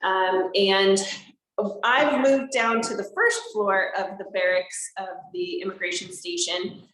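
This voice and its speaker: A woman's voice: medium pitch, fairly even intonation, slow pace, a bit bright, roomy sound, poor audio. A woman park interpreter giving a live educational talk from inside a historic barracks, explaining simply and answering viewers' questions. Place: indoors in a large historic barracks building.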